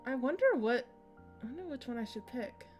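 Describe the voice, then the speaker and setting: A woman's voice: on the high side, animated, brisk. A woman livestreamer giving casual gameplay commentary and reading game text aloud. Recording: game music behind voice. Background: video game music.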